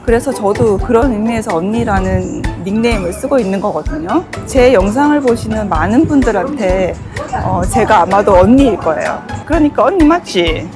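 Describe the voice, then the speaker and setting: A woman's voice: medium pitch, lively intonation, normal speed. A middle-aged woman from Busan with a Busan dialect accent, chatting casually as a travel vlogger outdoors, exclaiming often. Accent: Busan Gyeongsang dialect. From Busan, South Korea. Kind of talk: casual vlog chat. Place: outdoors by a river and in a convenience store. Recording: background music throughout.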